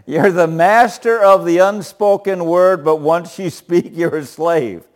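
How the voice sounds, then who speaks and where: A man with a loud voice leading a group Bible study, often chuckling and putting questions to the group. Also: sits high, unhurried.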